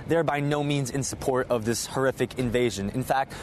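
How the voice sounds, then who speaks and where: A man's voice: medium pitch, a little fast. Young male student interviewee, earnest, outdoor street noise. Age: young adult.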